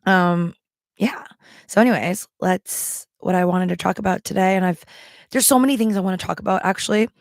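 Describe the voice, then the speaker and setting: Raspy-voiced woman chatting to camera. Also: mid-range voice, a little fast, no room sound, studio quality. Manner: rambling, with many ums and likes; talking around a throat candy. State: strained, worn voice. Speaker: livestream seller and vlogger. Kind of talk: casual chat to camera.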